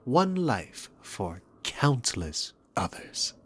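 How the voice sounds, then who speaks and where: A man's voice: medium pitch, sing-song, extremely slow, fairly bright tone, husky, heavily acted. A man playing a dominant, sarcastic demon king villain in a scripted ASMR roleplay, with smug, teasing, theatrical phrasing. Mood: amused, coaxing.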